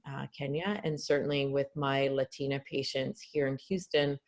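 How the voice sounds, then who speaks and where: A woman from Canada, a guest doctor answering webinar questions on a video call, earnest and explanatory with frequent fillers. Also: low voice.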